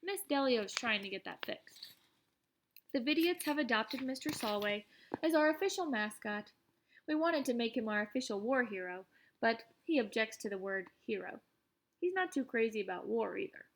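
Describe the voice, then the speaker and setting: An excitable teenage schoolboy voiced by a woman tells his own story in a fairly high voice. He is boastful yet self-deprecating, with a wry, conversational audiobook delivery that stays animated. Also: moderate pace. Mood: earnest. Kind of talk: first-person audiobook narration.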